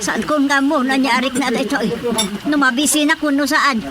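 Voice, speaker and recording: Woman acting a high-voiced, fretful Ilocano mother. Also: fairly even intonation, moderate pace, natural voice. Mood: complaining. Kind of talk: Ilocano radio drama comedy acting. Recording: loud crowd sounds behind.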